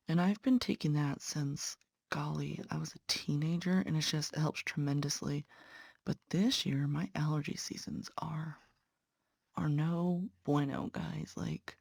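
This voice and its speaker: A woman's voice: low-pitched, creaky, darkish tone, breathy edge, no echo, clean studio sound. A young woman, a patient care tech and nursing student, chatting earnestly to viewers while stitching, sounding congested. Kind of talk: casual chat while stitching.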